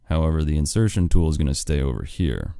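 An American man, an audio engineer, giving a screen-recorded software tutorial in a plain step-by-step way. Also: a bass voice, animated, fast talker, vocal fry, dry recording, clean studio sound.

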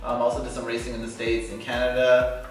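A man's voice: mid-range voice, completely flat delivery, fairly quick. A young man with a Bajan accent jokes around loosely in casual chat. He sounds far from the mic in a very echoey room, with faint music behind him. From Barbados. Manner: playful, joking, loose. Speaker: co-host. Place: indoor living room, on a couch. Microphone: far camera mic.

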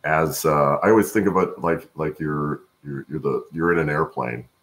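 A middle-aged man with a gravelly voice answering interview questions conversationally on a video call from home, haltingly thinking aloud. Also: a bass voice, a bit bright. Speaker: guest, supply chain expert and voice-over announcer.

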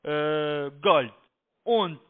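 A man with a foreign accent, not a native speaker, pushing a halting, repetitive sales pitch over the phone as a call-centre agent. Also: crowd noise. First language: not German. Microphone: telephone. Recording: tinny narrow phone line.

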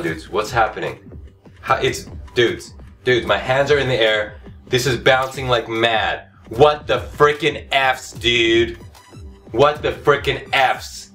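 A man in his thirties doing comedic game commentary at home, cocky and self-hyping, going hoarse as he swings from low mutters to shouted outbursts. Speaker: comedy gaming host. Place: home room, indoors. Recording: somewhat distant mic, loud game music behind.

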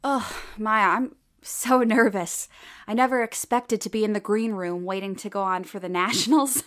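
A woman's voice: medium pitch, normal speed, natural voice. A woman playing an earnest, hopeful young rookie comedian in an audio drama. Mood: earnest, anxious.

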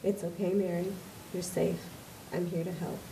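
A woman voicing a calm, gentle female machine guide that makes soft, even announcements. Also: fairly low pitch, brisk, recorded from a distance, a little hiss or hum, lightly acted, low-quality recording. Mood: soothing.

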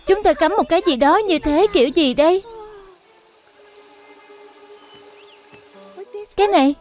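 A performer voicing an earnest, excitable young bear cub in a children's cartoon dub, with simple childlike delivery. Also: extremely high pitch, fast, soft background music. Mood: urgent.

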